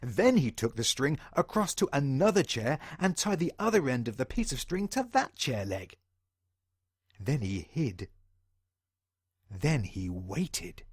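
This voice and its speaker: A man's voice: medium pitch, very animated, unhurried, husky, dry recording, clean studio sound. A British man reading a children's storybook in a theatrical way, as if speaking directly to young listeners.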